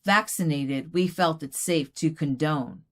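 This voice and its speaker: Lady as a doll poet doing a reading, earnest, kinda low voice, super slow and deliberate. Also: a bit bright. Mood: solemn. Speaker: poet reading own work. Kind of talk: poetry recitation.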